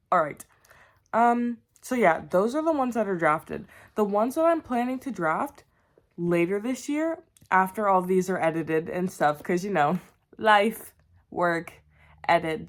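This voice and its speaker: Bubbly young woman vlogging. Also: fairly low pitch. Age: young adult. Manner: bubbly, rambling, chatty with viewers. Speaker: writer vlogger. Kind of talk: casual chat to camera.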